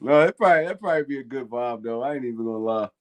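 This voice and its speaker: A man's voice: mid-range voice, lively intonation, racing. A man, co-hosting a fan call-in show in casual, slangy chat and laughing often. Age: 20s.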